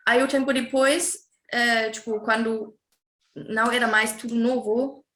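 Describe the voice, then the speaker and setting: A woman's voice: on the high side, level tone, unhurried, a bit bright. Woman, hesitant, in an echoey room. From Germany. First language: German. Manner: hesitant, searching for words, many fillers. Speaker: guest. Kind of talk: casual interview chat.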